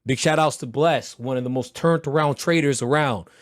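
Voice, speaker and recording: A man with a hoarse, recovering voice teaching a live trading mentorship session, talking in a loud, blunt, slangy, motivational way. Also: mid-range voice, normal speed, dry recording, studio quality. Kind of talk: livestreamed mentorship talk.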